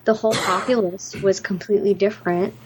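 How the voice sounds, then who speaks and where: Female podcast co-host, average pitch, informal and opinionated conversational delivery. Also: steady tone, brisk, background noise. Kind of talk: casual opinionated discussion.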